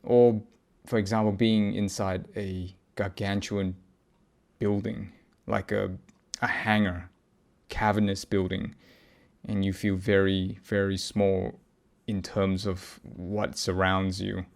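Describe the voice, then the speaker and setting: A man recording a reflective personal audio log, speaking haltingly as he searches for words and often pauses mid-thought. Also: low voice, flat delivery, vocal fry. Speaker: podcast host.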